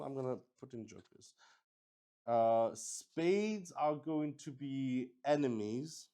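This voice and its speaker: A man with a lowish, mellow voice improvises as game master of a solo tabletop roleplay. He takes his time, pausing often and working things out aloud as he goes. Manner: hesitant, pausing, thinking aloud.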